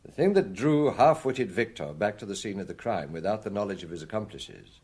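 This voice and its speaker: Shrewd, animated police detective. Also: male voice, fairly low pitch, breathy edge. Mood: assured, explanatory. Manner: assertive, deliberate, explanatory. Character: shrewd, self-assured male French police detective inspector. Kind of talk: British radio crime drama acting.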